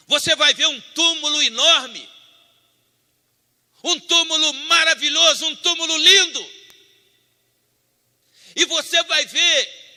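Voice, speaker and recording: A man preaching a sermon, telling a personal testimony, moving between loud fervent declaiming and hushed storytelling. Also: very high-pitched. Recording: long hall reverb.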